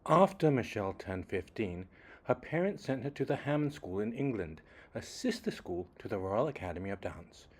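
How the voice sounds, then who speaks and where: Male children's storyteller with a fairly low voice, reading expressively with deliberate phrasing and varied, dramatic emphasis. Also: big pitch swings, slightly rough. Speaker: children's book reader.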